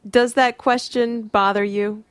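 A woman interviewer introducing her guest and asking calm, curious questions. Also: fairly high pitch, a little slow, smooth, no echo. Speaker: radio interviewer.

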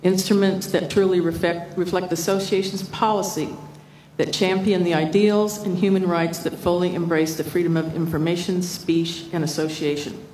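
Low-voiced woman reading out a statement. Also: moderate pace. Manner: steady, formal reading. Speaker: chapter councilor. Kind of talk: reading a prepared statement aloud at a meeting. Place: large meeting hall. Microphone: floor microphone. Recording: echoey hall, distant mic, faint noise.

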